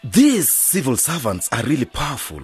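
Worldly-wise sceptic, radio drama, very breathy rough voice, mid pitch, wry. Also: male voice, wildly swooping pitch, normal speed, faint music behind, full character voice. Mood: cautioning. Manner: wry, cautionary.

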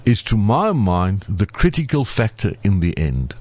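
Low-voiced man explaining. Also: very animated, a little slow, a little mellow, dry recording. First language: Afrikaans. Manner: explanatory, lecturing at length. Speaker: professor, guest expert. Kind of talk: conversational interview.

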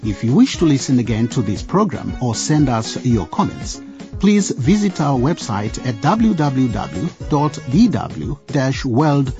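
A man's voice: mid-range voice, big pitch swings, unhurried. A man narrating a scripted radio drama as its storyteller. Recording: dull lo-fi sound with music behind.

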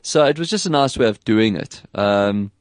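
A man with an English South African accent hosting a casual tech chat, animated and quick to put on voices when quoting people. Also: on the low side, no echo, clean studio sound. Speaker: podcast host.

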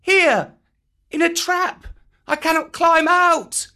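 A man's voice: very high-pitched, slow, pushed and bright, pitched above the performer's own voice, exaggerated voice. A man reading a storybook aloud, voicing a small jungle boy who speaks in formal, earnest old-style phrases. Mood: pleading.

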